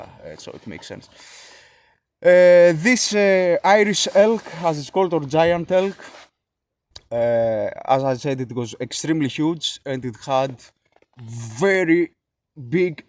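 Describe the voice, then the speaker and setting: A Greek man speaking English as a second language, explaining a philosophy idea in a casual monologue, halting with frequent fillers and restarts. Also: on the high side, darkish tone, no room sound. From Greece.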